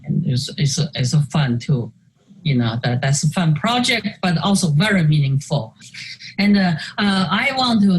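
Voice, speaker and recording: An older woman whose first language is Chinese, speaking English slowly and haltingly with many fillers and restarts as she presents her artwork in a video call talk. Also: low-pitched, darkish tone, a little hiss or hum, rough recording. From China. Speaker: artist. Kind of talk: virtual artist talk presenting slides.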